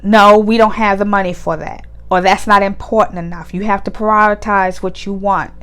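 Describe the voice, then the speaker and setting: A woman's voice: medium pitch, fairly even intonation, normal speed, fairly bright tone, smooth. A woman money coach, stuffy-nosed from a cold, talking straight to the viewer in an earnest, encouraging way and asking them lots of questions. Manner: earnest, asks the listener many questions. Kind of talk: direct-to-camera coaching talk.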